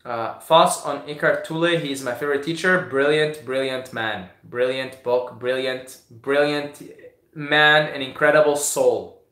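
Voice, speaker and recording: A man's voice: mid-range voice, fairly even intonation, a little slow, sharp tone. Syrian-born man answering questions in an echoey room. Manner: repeats words, many fillers and restarts. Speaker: host answering viewer questions. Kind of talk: casual live Q&A.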